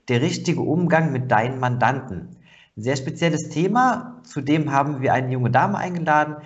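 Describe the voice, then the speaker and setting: Guy hosting a podcast, easygoing questions, mid pitch, kinda muffled sound. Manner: chatty, conversational questions with fillers. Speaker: podcast host. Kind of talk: podcast interview.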